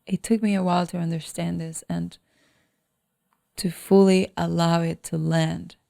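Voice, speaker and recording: A woman podcast host with a fairly low voice and plenty of vocal fry. She talks in a motivational, deliberate way, leaning on key words and repeating phrases to drive them home. She comes from the Dominican Republic. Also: fairly even intonation, warm tone. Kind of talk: motivational solo podcast talk.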